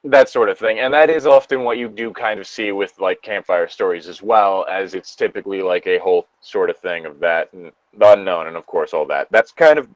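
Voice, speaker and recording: A man's voice: low-pitched, a little fast, hardly any pauses, no echo, dull audio. A man co-hosting a podcast, chatting casually and giving opinions on horror in a rambling, sweary way. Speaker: podcast co-host.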